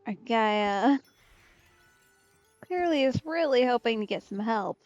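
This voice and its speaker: Female game streamer, highish voice, relaxed and musing, slightly dull audio over faint music. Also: a little slow, dry recording. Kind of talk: casual let's play commentary.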